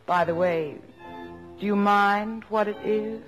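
An actress playing a patient, devoted, middle-aged wife and mother, composed and gently teasing, in a 1950s radio drama. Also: medium pitch, steady tone, slow, faint music behind, close to an everyday voice. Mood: tender, teasing.

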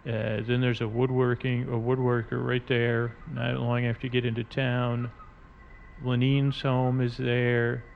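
A man hosting a podcast, talking in a halting, meandering, unscripted way as he describes things in front of him. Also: medium pitch, monotone, creaky, narrow, tinny audio, a little hiss or hum. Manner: halting, meandering, spells out odd words. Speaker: podcast host. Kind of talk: rambling, unscripted narration describing game maps and artwork. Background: music bed and sound effects.

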